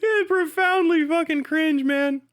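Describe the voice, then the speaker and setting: A man's voice: very high-pitched, measured pace, fairly bright tone. A man hosting a casual livestream, talking in a sweary, dismissive, sardonic way. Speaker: livestream host.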